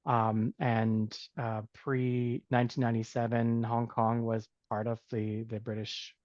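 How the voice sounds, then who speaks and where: A man's voice: medium pitch, little pitch movement, a little slow, a little mellow, dry recording. Reflective man on a dull video call. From Canada. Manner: reflective, many fillers and restarts. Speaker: music educator guest. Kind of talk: casual storytelling on a video call.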